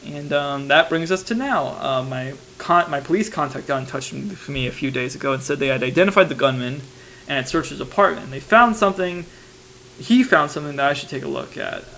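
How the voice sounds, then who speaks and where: A man's voice: a little high, animated, brisk, pushed and bright. A young man playing an anxious investigative reporter, rambling hesitantly and informally into a private tape diary. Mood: unsettled. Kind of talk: analog horror monologue, confessional voice memo. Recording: noisy tape recorder with hum.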